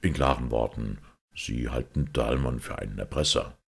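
A man voicing a calm, shrewd priest detective in an audiobook, slow and deliberate, with a gravelly, husky voice. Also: rumbling low, voice pushed down, exaggerated voice. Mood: calm, knowing.

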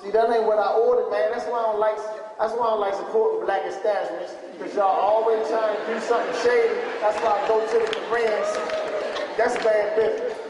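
A man's voice: sits high, level tone, brisk, natural voice. An actor playing a brash, joking young man with slangy, casual delivery in a comic stage play. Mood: indignant grumbling. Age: young adult. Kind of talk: comedic stage play acting. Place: large theater stage before an audience. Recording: echoey hall, noisy audience, background hiss.

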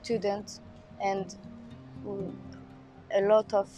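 A woman giving casual interview answers as a language student, halting and searching for words. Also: medium pitch, completely flat delivery, mellow, faint music behind.

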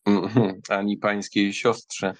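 A man playing a polite, ironic young German count in a dramatised audiobook, measured and wry. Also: on the low side, flat delivery.

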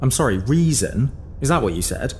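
A man playing a haughty gatekeeper, lively and condescending. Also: medium pitch, normal speed, faint music behind, close to an everyday voice. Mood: exasperated. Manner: condescending, exasperated, mock-polite. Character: haughty, powerful, bearded male gatekeeper, officious and teasing. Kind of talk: audio drama acting.

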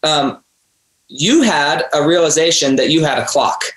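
A man's voice: on the high side, measured pace, fairly bright tone, reverberant. A man hosting a podcast, talking earnestly and preachily, addressing his listeners directly. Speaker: podcast host. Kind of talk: motivational interview talk.

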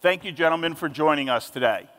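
A man's voice: on the high side, fast talker, a bit bright. A man in his forties moderating a conference panel on a large stage, emphatic and upbeat, speaking into a handheld mic. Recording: long hall reverb.

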